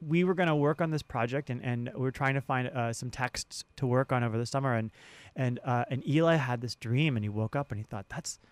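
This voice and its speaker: A man speaking conversationally as an interview guest, halting and often restarting his phrases. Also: medium pitch, clean tone, dry recording. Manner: halting, with repeated words and restarts. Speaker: sound designer and guest.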